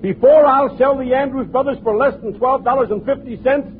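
A man's voice: extremely high pitch, steady tone, brisk. An actor playing a flustered, boastful salesman in a 1940s radio comedy, stammering as he blusters. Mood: boastful, blustering. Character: flustered, boastful male salesman pushing a singing act. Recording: tinny, hissy old radio broadcast.

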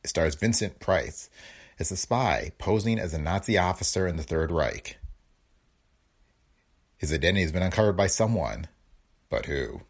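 Gravelly man hosting a history podcast. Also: sits low, expressive, moderate pace, pauses often. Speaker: podcast host. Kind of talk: podcast introduction.

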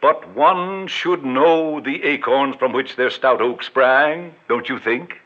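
An actor in an old radio drama playing a smooth, pompous middle-aged con man with florid, grandiloquent speech. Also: mid-range voice, takes it slowly. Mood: unctuous. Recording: dull vintage radio recording.

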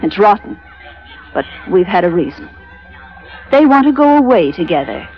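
Dull vintage radio recording of a drama. An actress plays a cool, scheming woman with a medium-pitched voice, poised and sly, with a biting edge as she bargains. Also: sing-song, normal speed, faint music behind, natural voice. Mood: cold, bitter. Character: cool, scheming wife bargaining with a sea captain. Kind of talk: old-time radio drama acting. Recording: muffled vintage radio broadcast.

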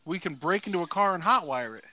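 A man's voice: sits high, lively intonation, fast talker, crowd noise, low-quality recording. A man playing a folksy rural country boy in a tabletop game, with a drawl and hillbilly slang. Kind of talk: tabletop game role-play.

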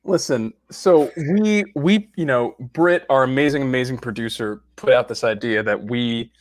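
Rambling, laughing male podcast host. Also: mid-range voice. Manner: rambling, laughing, many restarts. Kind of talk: casual chat.

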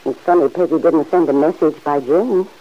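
A woman playing a kindly middle-aged farm aunt who gently chides the man in her home, in 1940s radio acting. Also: low-pitched. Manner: gently chiding, motherly, quick to laugh. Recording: dull old radio recording.

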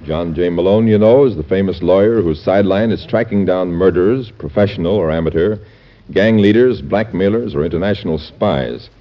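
A man's voice: low voice, fairly quick. An American man reading a scripted promotional announcement in classic mid-century radio announcer style. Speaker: network announcer. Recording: dull vintage radio recording.

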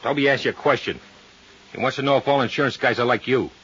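A man acts out a sneering gangland heavy from a fifties radio play. He needles and taunts in streetwise slang, at a middling pitch. The vintage recording is dull with faint noise. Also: steady tone, fast talker, fairly bright tone, natural voice. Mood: taunting. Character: taunting male hoodlum, sneering ringleader of thug pair. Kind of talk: 1950s radio crime drama acting. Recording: muffled, faintly noisy 1950s radio recording.

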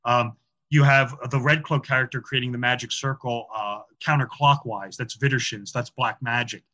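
A man gives a loose, talkative explanation, full of fillers and restarts. His voice is bright and forceful, at an ordinary middle pitch. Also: fairly even intonation, normal speed, dull audio. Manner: chatty, many "um" and "you know" fillers, repeats words. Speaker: podcast guest, author on film symbolism. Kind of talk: casual interview talk.

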